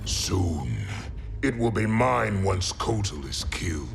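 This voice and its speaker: A man's voice: low-pitched, big pitch swings, slow pace, vocal fry, full character voice. A man playing a menacing, terse villain's henchman in a video game. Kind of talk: video game cutscene acting. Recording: echoing voice with music behind.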